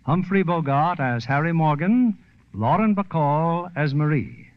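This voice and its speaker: A man with an American accent hosts a scripted 1940s radio show. His voice sits a little high for a man. The old recording is muffled and lo-fi. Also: animated, a little slow, noisy background.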